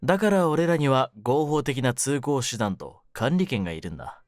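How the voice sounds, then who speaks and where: A man performs as a young mafia captor in an ASMR-style audio drama. His delivery swings widely in pitch, slipping between rough threats and smug mock courtesy. The sound is very dry and close, with a cool, teasing menace. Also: expressive, normal speed, no echo, lightly acted. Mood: scornful, cool. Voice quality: low-pitched, hushed at menacing moments. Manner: rough masculine speech, smug mock politeness. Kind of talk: ASMR situation voice drama, intimate one-sided roleplay.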